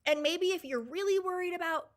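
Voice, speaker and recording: A woman's voice: very high voice, a little fast. A woman in her forties hosting a live Q&A, answering viewer comments in an enthusiastic, emphatic and reassuring way. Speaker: host.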